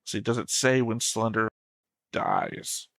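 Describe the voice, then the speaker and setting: A man's voice: fairly low pitch, huge pitch swings, takes it slowly, creaky, fairly bright tone, no echo, clean studio sound. A man in a casual fan discussion as a show co-host, talking in a pedantic, insistent way.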